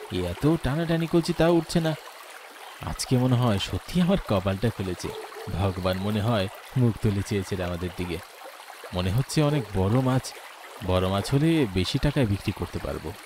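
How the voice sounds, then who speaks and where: A man's voice: on the low side, background noise, lightly acted. A man playing a poor, earnest village fisherman and family man in a horror cartoon. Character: poor, hopeful village fisherman, husband and father.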